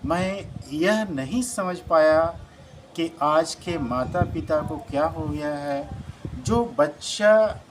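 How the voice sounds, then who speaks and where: A middle-aged man, a school principal, telling a story about a pupil in an earnest, measured way and ending with advice for parents. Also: a little high, rough recording. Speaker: school principal and channel host. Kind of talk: reflective storytelling monologue with a moral. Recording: noticeable background noise.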